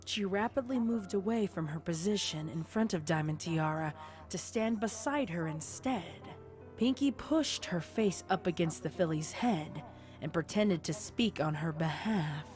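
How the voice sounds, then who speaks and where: Low-pitched woman narrating a story. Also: unhurried, a little mellow. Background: music.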